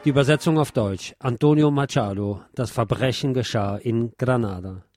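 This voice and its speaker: A man giving a scripted literary talk and reciting poetry in a measured, deliberate, declamatory way, like a radio presenter. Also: medium pitch, a little mellow, no echo, studio quality, soft background music.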